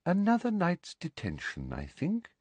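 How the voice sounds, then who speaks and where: A prim, sugar-sweet school inspector who murmurs her threats softly, as a man puts her on. Her high, lilting voice rises and falls, with a husky edge. It is recorded close and dry, like a storybook reading. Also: wildly swooping pitch, measured pace, no echo, exaggerated voice. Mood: silkily cold. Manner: sweet, soft and menacing.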